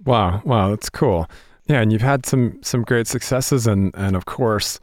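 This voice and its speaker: Deep voiced guy hosting a podcast, rambly excited questions, lots of ums, super dry close mic. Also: a little slow, no room sound. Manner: rambling, enthusiastic questions with many fillers. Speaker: podcast host. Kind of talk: podcast interview.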